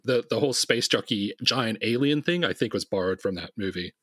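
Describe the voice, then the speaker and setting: A man in his late thirties with a slightly low, husky voice, talking casually as a podcast guest. The sound is close and dry. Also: animated, a little fast, fairly bright tone, no echo. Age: late 30s. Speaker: podcast guest, comic creator. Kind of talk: casual conversation.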